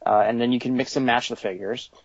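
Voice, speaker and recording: Man, medium pitch, informal interviewee, halting thinking-aloud delivery, dry room, slightly lo-fi sound. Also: steady tone, no echo. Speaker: toy designer being interviewed. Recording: slightly dull, lo-fi recording.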